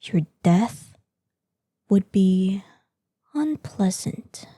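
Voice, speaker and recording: Woman voicing a slow, dark-toned, imperious she-dragon. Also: medium pitch, lively intonation, slightly rough, no echo, clean studio sound, exaggerated voice. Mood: languid threat. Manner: slow, imperious, savoring threats. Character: ancient, menacing, proud female dragon guarding hoard, lonely, excitable. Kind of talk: scripted audio roleplay acting.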